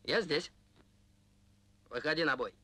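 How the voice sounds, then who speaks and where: An actor playing a bold, teasing young warrior in a folk-tale cartoon, brash and exclamatory. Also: male voice, a little high, unhurried, lightly acted. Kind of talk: animated film dialogue. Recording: loud music over old film soundtrack.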